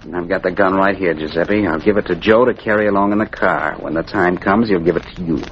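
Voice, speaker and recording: An actor playing a cold, slick racketeer boss, menacing and commanding, in a 1940s radio drama. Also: male voice, low-pitched, fast talker, fairly bright tone. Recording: muffled, hissy old radio recording.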